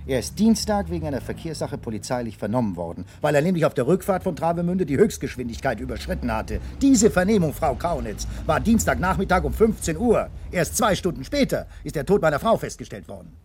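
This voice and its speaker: A man's voice: fairly high pitch, big pitch swings, a little fast, few pauses, a little mellow, a little hiss or hum. An actor playing a self-assured, evasive businessman in a 1970s radio crime drama, speaking formally and insistently. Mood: defensive, indignant.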